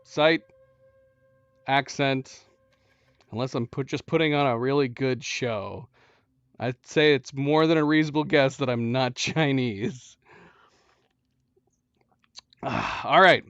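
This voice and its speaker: A man's voice: mid-range voice, slow, pauses often, fairly bright tone, clear, resonant tone, no room sound, muffled recording. A man with an American accent giving casual live commentary while playing a video game, chatting with viewers and laughing often. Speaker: gaming streamer. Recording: game music underneath.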